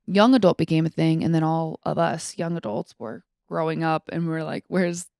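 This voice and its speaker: A woman's voice: low voice, moderate pace, gravelly, darkish tone, clean tone, no echo, studio quality. A woman in her twenties chatting casually about books as a podcast co-host, in an informal way peppered with "like". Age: 20s.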